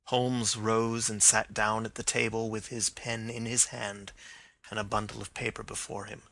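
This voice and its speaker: Man narrating a story, gravelly. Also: lowish voice, little pitch movement, normal speed, bright, forceful tone, dry recording.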